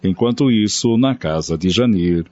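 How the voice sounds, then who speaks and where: Um homem brasileiro que narra uma radionovela, lendo um texto roteirizado. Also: male voice, lowish voice, animated, moderate pace, warm tone. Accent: Brazilian. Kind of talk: scripted radio drama narration. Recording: dull, lo-fi recording. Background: faint music.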